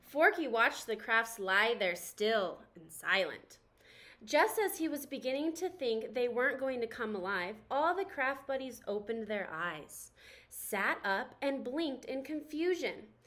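Young female picture-book reader, expressive and animated, high-pitched, with lively intonation. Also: measured pace. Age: young adult. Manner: animated, storytelling to children. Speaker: art teacher reading a picture book aloud. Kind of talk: expressive children's read-aloud narration.

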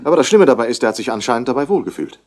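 A man's voice: medium pitch, big pitch swings, racing, darkish tone. An actor playing a brusque, self-important Australian businessman in a 1960s German radio crime drama. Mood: indignant, disapproving. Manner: clipped, self-important, indignant. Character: brusque, busy rich Australian businessman, wool merchant's son.

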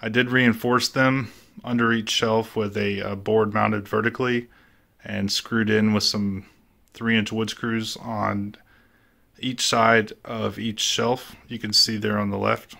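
A man's voice: lowish voice, little pitch movement, slow pace, vocal fry, sharp tone. A man giving a casual voice-over tour of his statue collection room, plain and matter-of-fact with frequent filler words.

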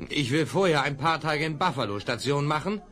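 An ingratiating old cattle dealer. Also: male voice, fairly high pitch, expressive, fairly quick, a bit bright. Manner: ingratiating, persuasive. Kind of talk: 1970s radio play acting.

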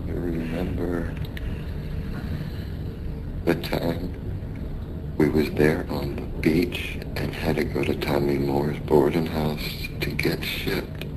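An actor playing a dying, wistful seasoned sailor in a radio drama, talking haltingly and wearily in a weak, gravelly voice. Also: male voice, little pitch movement. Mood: fond reminiscing. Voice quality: gravelly, creaky. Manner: halting, weary, drifting. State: weak, failing. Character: dying, wistful seasoned American sailor reminiscing to his mate. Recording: noisy radio drama with rumbling ambience.

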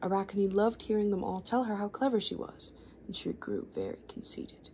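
A woman in her twenties softly reading children's books and chatting in an informal, rambling ASMR style, often dropping to a whisper. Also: medium pitch, very animated, normal speed, slight background noise. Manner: rambling, informal, gently chaotic asides. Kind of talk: soft-spoken ASMR reading and casual chat. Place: small living room, indoors.

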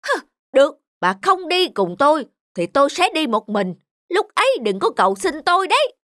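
An actor playing a greedy, scheming middle-aged village landlord in a cartoon folk tale, blustering and exclamatory. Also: male voice, extremely high pitch, big pitch swings, normal speed, pushed and bright, no room sound, clean studio sound, exaggerated voice. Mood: irritated.

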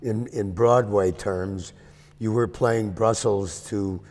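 An older man interviewing a guest, asking short questions and prompting with quick replies. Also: fairly low pitch, fairly even intonation, a little mellow, big-room sound. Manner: brief prompts and backchannels. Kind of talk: conversational interview. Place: empty hall with a stage.

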